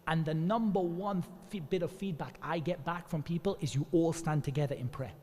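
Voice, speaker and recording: A man from England giving an earnest, animated religious talk to an audience, telling stories with quick asides. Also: fairly high pitch. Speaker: Islamic speaker. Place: Islamic centre hall. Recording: long hall reverb.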